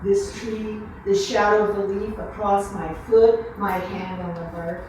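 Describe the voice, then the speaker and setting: A woman's voice: mid-range voice, level tone, a little slow, darkish tone. A woman author speaking in an earnest way to guests at a live book event. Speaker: author introducing and reading own book. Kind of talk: informal live talk, then slow literary reading. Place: room at a live book reception. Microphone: distant microphone. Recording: echoey room, far mic, faint noise.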